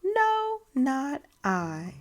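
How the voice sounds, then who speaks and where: A woman's voice: high-pitched, wildly swooping pitch, extremely slow, soft background music, full character voice. A woman voicing a shy, self-doubting young girl inventor in a dramatic storybook reading. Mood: doubtful.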